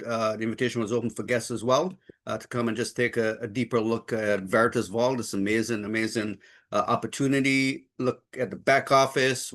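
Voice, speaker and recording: A man from the Caribbean hosting an informal online webinar, upbeat and effusive, in a wordy, halting way. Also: medium pitch. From Trinidad and Saint Croix, Caribbean. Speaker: host and trainer of online group call.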